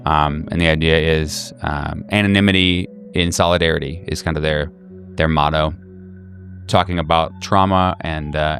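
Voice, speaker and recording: A man with a deep, low voice works as game master of a horror tabletop game. He improvises his descriptions in a halting way, searching for the next word. Faint music sits behind him. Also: fairly bright tone.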